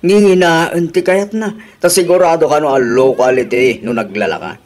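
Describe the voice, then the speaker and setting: An actor playing a thrifty, exasperated husband in a radio drama, grumbling reproachfully with an Ilocano accent. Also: male voice, fairly high pitch, moderate pace, darkish tone, lightly acted. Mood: respectful, complaining.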